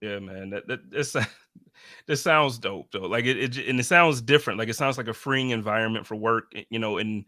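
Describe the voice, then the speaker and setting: Bright-voiced man hosting a casual podcast. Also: on the low side, moderate pace, dry recording, clean studio sound. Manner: friendly, encouraging, slangy. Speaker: podcast host. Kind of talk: casual chat.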